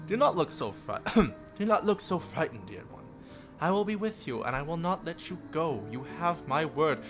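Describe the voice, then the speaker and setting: A man's voice: fairly high pitch, expressive, moderate pace, over music, close to an everyday voice. A man voicing a courteous, reassuring female mermaid with florid, formal phrasing in a visual novel game. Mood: soothing.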